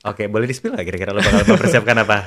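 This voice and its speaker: A man's voice: fairly low pitch, big pitch swings, very fast, fairly bright tone, slightly rough. A man with a Jakarta Indonesian accent hosting a casual interview, mixing English phrases into his questions and replies. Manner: frequent backchannels, mixes in English phrases. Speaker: podcast host.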